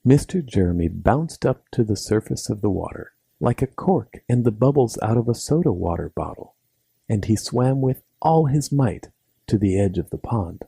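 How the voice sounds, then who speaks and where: A man's voice: fairly low pitch, animated, normal speed, creaky, dark tone, husky, no room sound. A man narrating a scripted bedtime story for children as its storyteller.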